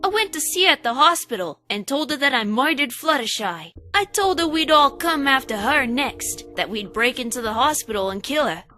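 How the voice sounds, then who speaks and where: A woman's voice: high-pitched, expressive, normal speed, hardly any pauses, sharp tone, soft background music, voice pushed up. A woman voicing a young, scheming, theatrical filly in a children's-cartoon style story. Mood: calm, smug. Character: young female filly, scheming, theatrical, cold beneath tears.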